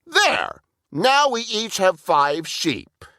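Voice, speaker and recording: A man's voice: high voice, huge pitch swings, extremely slow, bright, forceful tone, dry recording, clean studio sound, pitched above the performer's own voice, exaggerated voice. A man voicing a whiny, indignant younger brother monster for a children's storybook, nagging and scolding. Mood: insistent whining. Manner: whining, scolding.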